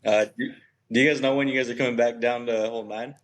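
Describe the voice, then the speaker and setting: A man's voice: mid-range voice, steady tone, quick pace. A man speaking as an athlete guest in a casual podcast interview, with a laid-back, understated manner. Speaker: podcast guest, professional cornhole player.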